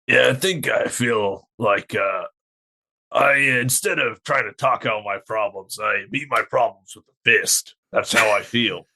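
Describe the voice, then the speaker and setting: A blunt tavern brawler acted by a man talks through a jutted jaw. His tone is hard and forceful, angry with some self-consciousness underneath. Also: medium pitch, measured pace, vocal fry, heavily acted. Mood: gruff, defensive. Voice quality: jutting-jaw delivery.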